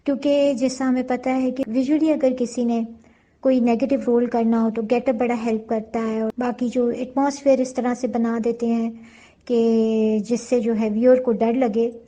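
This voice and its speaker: A woman's voice: fairly high pitch, little pitch movement, normal speed, warm tone. A woman, hosting a conversational interview.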